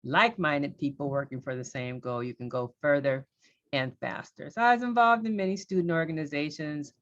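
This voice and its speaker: A middle-aged woman from Los Angeles, a judge, telling her career story on a panel in a candid, lively way. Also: low-pitched, brisk, dull audio.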